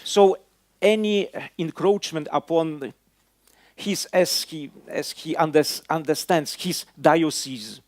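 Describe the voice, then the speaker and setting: A man's voice: fairly high pitch, slow pace, a little mellow, dry recording, studio quality. A man with a Polish accent speaking on a conference panel, often repeating words as he searches for them. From Poland. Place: conference hall.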